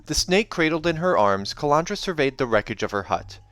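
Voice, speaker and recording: A man's voice: a little high, big pitch swings, a little fast, a bit bright, no room sound. A man hosting a read-aloud, narrating a fantasy novel and dropping in casual asides. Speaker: host reading a novel aloud. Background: background music.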